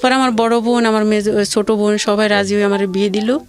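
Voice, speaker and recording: A woman telling her life story in a plaintive, rambling way with halting repeats. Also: mid-range voice, level tone. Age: adult mother of two. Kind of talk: personal hardship testimony in conversation.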